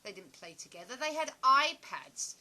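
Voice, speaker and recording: A woman playing a chatty, opinionated, nostalgic stage actress in an audio play, with a dramatic, expressive storytelling manner. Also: sits high, normal speed, heavily acted, low-quality recording. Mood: nostalgic, earnest.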